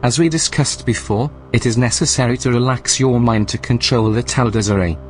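Man acting as a calm, clinical station computer. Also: medium pitch, soft background music, close to an everyday voice. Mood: calm, apologetic. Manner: formal, measured, polite. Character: calm, clinical male artificial intelligence running a research station. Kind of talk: science-fiction audio drama acting.

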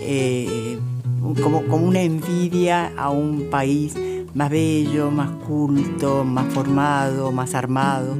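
A woman's voice: rumbling low, flat delivery, over loud music. An older woman, raised in Chile, a therapist talking as an interview guest with many hesitations. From Argentina, raised in Chile. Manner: slow, hesitant, many fillers. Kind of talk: interview conversation.

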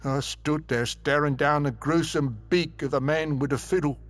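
An actor playing a very old, haunted survivor, telling his tale gravely and theatrically in an audio drama. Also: fairly high pitch, fairly even intonation, moderate pace, natural voice. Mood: dread. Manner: grave, theatrical, emphatic storytelling. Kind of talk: dramatic audio fiction narration. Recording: music behind the voice.

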